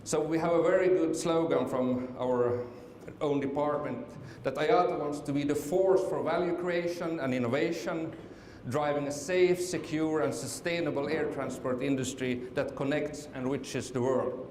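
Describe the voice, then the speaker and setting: A man's voice: on the high side, steady tone, moderate pace, hardly any pauses, a little mellow. A middle-aged man from Finland giving a conference presentation, hesitant, with many fillers and restarts. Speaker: conference speaker. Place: conference hall. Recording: echoey hall with faint noise.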